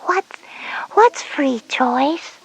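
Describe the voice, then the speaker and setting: A breathy little kindergarten girl, speaking very slowly in a high voice. She is curious and a bit stubborn, sounding out her words in a childlike, deliberate way for a children's educational story. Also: animated, exaggerated voice, rough recording. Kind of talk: scripted educational audio story dialogue.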